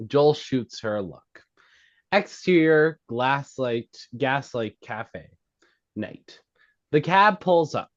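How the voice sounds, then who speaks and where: A man's voice: mid-range voice, expressive, slow. A man narrating, reading out screenplay stage directions at a table read. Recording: dull, lo-fi sound.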